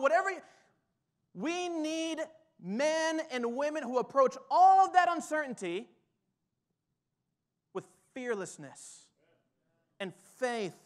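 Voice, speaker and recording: A male pastor in his thirties preaches from a church stage through a headset mic, with a high, light voice. He moves from hushed, reflective passages up to urgent, rising exhortation. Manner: builds from quiet to fired-up exhortation. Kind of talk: sermon. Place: church stage with drum kit, indoors.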